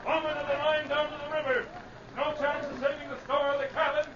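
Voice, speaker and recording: A man's voice: extremely high pitch, level tone, fast talker. A man playing a commanding police sergeant in an old-time radio drama, barking orders with authority. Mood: commanding, urgent. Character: commanding male police sergeant of the gold-rush Yukon. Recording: dull, noisy vintage radio recording.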